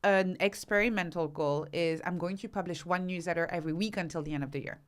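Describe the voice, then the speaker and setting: A woman's voice: lowish voice, fast talker. A woman guest explaining and advising conversationally, with emphatic repetition and rhetorical questions. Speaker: podcast guest, expert author.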